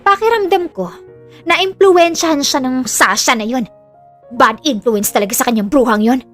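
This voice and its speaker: A woman's voice: sits high, moderate pace, a bit bright, soft background music. A woman with a Filipino accent playing a spiteful, greedy, scheming mother in an audio drama. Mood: cold scheming.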